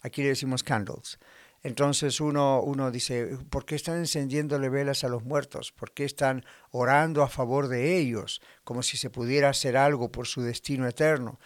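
A man's voice: medium pitch, steady tone, moderate pace, dry recording, studio quality. Argentine-born man preaching conversationally. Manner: conversational preaching, rhetorical questions. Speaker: pastor. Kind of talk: sermon.

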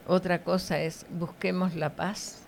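A woman's voice: on the low side, level tone, measured pace, gravelly, slight background noise. A middle-aged woman giving devotional teaching in conversation, didactic and often checking for agreement with short tag questions.

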